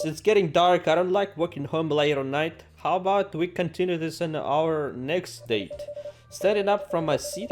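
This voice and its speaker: A man hosting a let's play, reading game text aloud in a playful, theatrical way with joking asides. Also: a little high. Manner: playful, theatrical, exclaims and jokes to himself. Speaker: gaming video host. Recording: music behind the voice. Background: game music.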